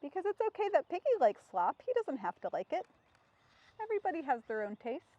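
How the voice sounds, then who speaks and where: A woman hosting an interactive children's storytime, expressive and full of questions for young listeners. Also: high-pitched, quick pace, no echo, muffled recording. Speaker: storytime host reading to children.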